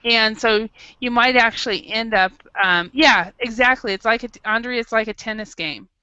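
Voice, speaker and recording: Woman, teacher, bright voice, smooth and clear, dry sound, frequent restarts. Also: on the high side, normal speed, dry recording, muffled recording. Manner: restarts often, thinking aloud. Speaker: English teacher. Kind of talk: classroom teaching.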